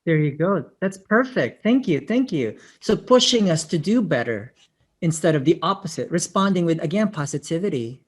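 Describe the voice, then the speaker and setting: A webinar presenter with a Filipino accent, talking in an earnest, reflective way and often checking in with "right?". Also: male voice, fairly high pitch, expressive, normal speed, husky. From Filipino-American family background. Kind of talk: conversational webinar talk.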